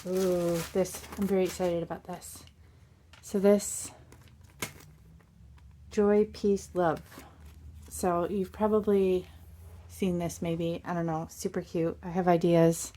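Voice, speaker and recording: A hoarse woman hosting a casual crafting unboxing from her craft desk, chatty and bubbly, with little asides and puns. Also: lowish voice, flat delivery, takes it slowly, dark tone. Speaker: crafting channel host.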